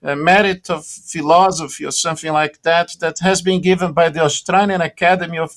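Middle-aged Brazilian-accented man, raised pitch, eager halting radio guest. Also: steady tone, fairly bright tone. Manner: enthusiastic, halting. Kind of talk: radio interview.

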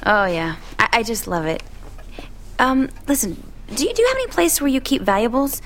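A woman's voice: fairly high pitch, huge pitch swings, brisk, a bit bright, slight background noise, heavily acted. An actress playing a chatty, flirtatious but evasive single woman in an audio drama, with a coy, teasing manner. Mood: apologetic, confiding. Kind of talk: naturalistic audio drama acting.

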